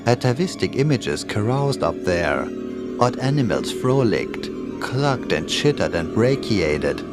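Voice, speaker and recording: A man's voice: lowish voice, big pitch swings, a little slow. A man reading a scripted horror story in a dramatic, ominous way as its narrator. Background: creepy music and sound effects.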